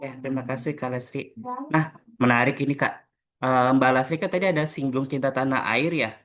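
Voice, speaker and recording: Relaxed young man hosting a podcast. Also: mid-range voice, a little fast. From Jakarta. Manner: friendly, relaxed, frequent filler "eh". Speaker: podcast host. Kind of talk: casual group podcast chat.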